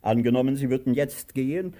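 An actor playing a pompous, domineering master in a radio play, grandiose and theatrical, given to barked orders and affected courtesy. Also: male voice, mid-range voice, brisk, warm tone, close to an everyday voice. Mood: pompous, courteous. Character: pompous, domineering master with whip and servant, male.

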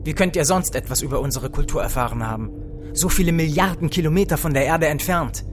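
An actor playing a loyal, excitable spaceship co-pilot in a satirical science-fiction radio play, with an emphatic delivery. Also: male voice, a little high, quick pace, natural voice. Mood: baffled. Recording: music behind the voice.

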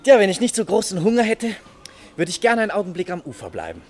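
Animated dreamy young army doctor. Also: male voice, high voice, fast talker. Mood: cheerful. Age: young adult. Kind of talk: German radio play acting.

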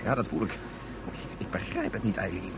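A man's voice: deep voice, moderate pace, noisy background, natural voice. A man playing a hasty, impatient father and spaceship pilot who grumbles and justifies himself in a science-fiction radio drama. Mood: irritated, grumbling.

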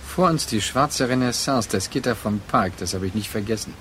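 Male actor as brooding ex-lover, low voice, deliberate delivery, reflective radio drama monologue, faint background noise. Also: fairly bright tone, natural voice. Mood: brooding, remembering. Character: brooding man haunted by his estranged former lover.

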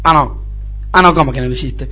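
A man's voice: medium pitch, brisk, pushed and bright, soft background music. A man playing a spoiled, snobbish young Argentine businessman in a radio comedy-drama, whining, stammering and repeating himself. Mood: exasperated, whiny. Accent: Argentine (Rioplatense).

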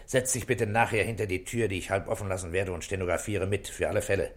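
Low-voiced, gruff police inspector. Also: male voice, steady tone. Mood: brisk. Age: middle-aged. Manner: brisk, commanding, matter-of-fact. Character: authoritative, gruff middle-aged police inspector in Paris. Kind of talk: 1960s German radio play acting.

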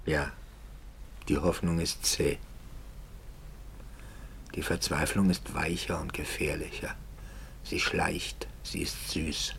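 Tormented doubting priest, deep creaky voice, earnest, old radio drama, sinks to near whisper. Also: male voice, level tone, slow pace, long pauses, a bit bright, slight background noise, full character voice. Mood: brooding, resigned. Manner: brooding, intense, often dropping to near whisper. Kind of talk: 1950s German radio play acting.

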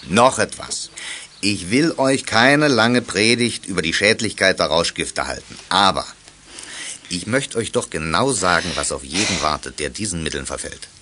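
A deep, bright-voiced stern father. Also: lively intonation, a little hiss or hum. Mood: earnest. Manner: admonishing, measured, explanatory. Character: stern, caring middle-aged father of young sleuths. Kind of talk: German radio play acting.

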